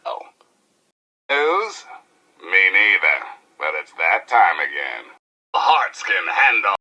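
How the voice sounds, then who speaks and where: Game radio DJ: American, cheeky rebel, hyped patter, low-ish voice with lively swoops. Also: male voice, slow pace, breathy edge, highs cut off, exaggerated voice. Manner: streetwise, playful, theatrical.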